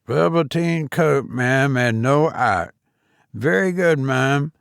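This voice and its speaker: A man's voice: medium pitch, expressive, slow, gravelly, clean tone, no echo, studio quality. A man voicing a matter-of-fact, obliging cabman in a story.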